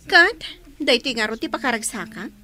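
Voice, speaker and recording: A spoiled young Filipino woman in a radio drama. Her voice is very high, piercing and swoops dramatically as she bosses people about. She is haughty, cruel and quick to scold. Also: animated, pushed and bright. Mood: haughty, defiant. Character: spoiled, bossy, cruel young woman, domineering elder sister.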